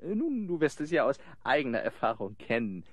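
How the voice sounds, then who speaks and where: A man playing a flattering, scheming young son-in-law, suave and falsely modest, in a radio play. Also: medium pitch, brisk, no echo. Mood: ingratiating.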